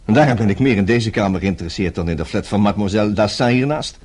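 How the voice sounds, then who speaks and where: An actor playing a flustered, earnest suitor in a radio play, stammering and hesitant, quick to take offence. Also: male voice, lowish voice, a little mellow, husky. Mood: awkward courting. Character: flustered, boyish suitor, earnest and easily indignant. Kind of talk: Dutch radio play acting.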